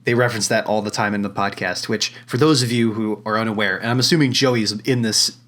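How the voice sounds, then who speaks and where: A young man co-hosting a podcast, deep-voiced today, rambling with fillers and frequent laughs. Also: steady tone, fairly quick, fairly bright tone. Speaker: podcast co-host. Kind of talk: casual chat.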